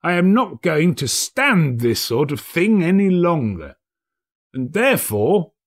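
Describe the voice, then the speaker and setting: A man's voice: on the high side, sing-song, a little slow, pitched above the performer's own voice. A man voicing an old reformed miser in a storybook, hearty and emphatic, with playful sternness. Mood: mock stern. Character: old reformed miser, hearty, jubilant, mock-gruff employer. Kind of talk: dramatic storybook character reading.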